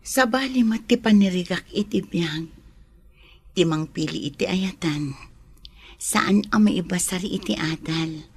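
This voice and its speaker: A woman with an Ilocano accent playing a gentle, wistful lady of the house in a radio drama, kind but firm. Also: fairly low pitch, measured pace, pauses often, breathy edge. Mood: tender, wistful.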